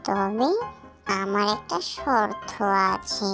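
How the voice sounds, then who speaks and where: A woman's voice: medium pitch, slow pace, creaky, fairly bright tone, faint music behind. A woman voicing a demanding, bargaining crow in a children's cartoon.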